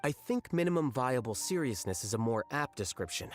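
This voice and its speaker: An actor playing an aloof, blunt young male scholar in a video game, deadpan, measured and articulate. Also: mid-range voice, lightly acted. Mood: calm, detached. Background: music.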